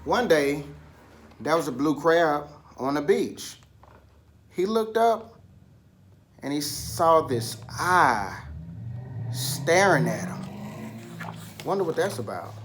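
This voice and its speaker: A man's voice: fairly high pitch, very animated, takes it slowly, pauses often, fairly bright tone, slight background noise. A man leading a picture book read-aloud lesson for children, instructing, asking questions and prompting listeners along.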